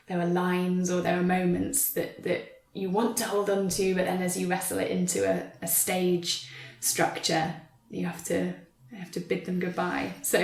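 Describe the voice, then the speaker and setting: A woman speaks in a reflective, searching way, as a writer in conversation. Her voice sits slightly low and the sound is roomy and echoey. She picks her words with care, often rephrasing as she goes. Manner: reflective, hesitant, choosing words carefully. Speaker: writer adapting an audio drama for stage. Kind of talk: thoughtful conversational interview talk.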